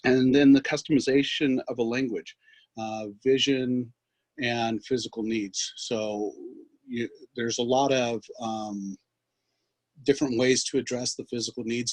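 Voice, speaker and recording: A man giving an instructional software demonstration as a trainer, explaining in a halting way. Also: medium pitch, no echo, poor audio. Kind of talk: instructional screen demonstration, loosely scripted.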